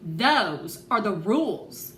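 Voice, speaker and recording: A woman's voice: mid-range voice, very animated, slow pace, pushed and bright, heavily acted. A woman voicing a stern, rule-bound female receptionist with a firm, growling delivery, in a children's book read aloud. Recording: echoey room with clear background noise.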